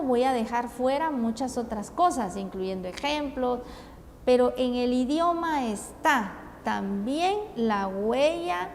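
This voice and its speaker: Guatemalan woman speaker, higher-pitched voice, unhurried and hesitant, in a reverberant hall. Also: a little mellow. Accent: Guatemalan Spanish. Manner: measured, deliberate, frequent hesitations. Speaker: conference speaker. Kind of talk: formal conference talk. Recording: long reverb, faint ambient noise.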